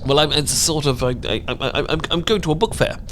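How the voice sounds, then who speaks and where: A man's voice: a little high, a little fast, a bit bright, a little hiss or hum, close to an everyday voice. A British man playing a nervous, bookish man in an audio romance roleplay, stammering and rambling in a flustered way.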